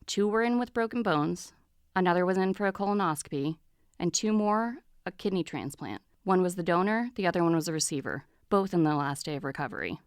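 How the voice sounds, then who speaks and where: Low-voiced matter-of-fact hospital doctor. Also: female voice, expressive, fairly quick, smooth, no echo, studio quality. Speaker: actor in an audio drama. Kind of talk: dramatic podcast acting.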